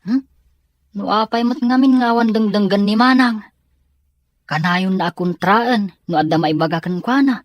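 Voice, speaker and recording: A woman's voice: mid-range voice, moderate pace, no echo, lo-fi sound, close to an everyday voice. A woman with a Filipino accent playing a caring, earnest young son in a family audio drama. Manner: concerned, earnest. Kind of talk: amateur audio drama acting.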